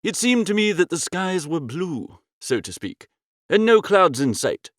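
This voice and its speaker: A man narrating a comic first-person story in an expressive, breezy and theatrical way. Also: high voice, measured pace, dry recording, clean studio sound. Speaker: audiobook narrator.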